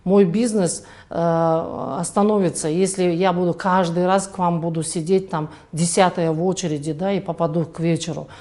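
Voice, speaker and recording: Middle-aged lady doctor chatting slow with lots of ums, low mellow voice. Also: steady tone. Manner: unhurried, many hesitation sounds. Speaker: fertility doctor, guest. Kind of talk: conversational interview answers.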